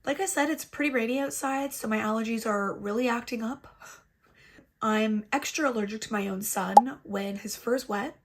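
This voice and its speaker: A woman's voice: fairly high pitch, normal speed. A woman with a stuffy, congested nose, chatting casually and with self-deprecating humour through a makeup tutorial, recorded indoors at home on a laptop microphone. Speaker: beauty vlogger. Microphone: laptop built-in mic.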